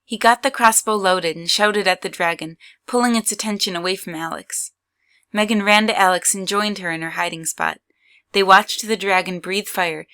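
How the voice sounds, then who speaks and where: A woman's voice: medium pitch, bright, forceful tone. A woman reading scripted third-person story narration as the narrator of an audio drama.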